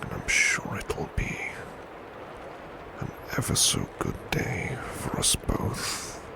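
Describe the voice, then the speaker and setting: A man playing a big, burly, devoted butler in a soft ASMR roleplay, murmuring in a breathy, polite, tender and protective way. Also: takes it slowly, background noise, close to an everyday voice. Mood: sleepy, soothing. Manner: polite, formal, tender, reassuring.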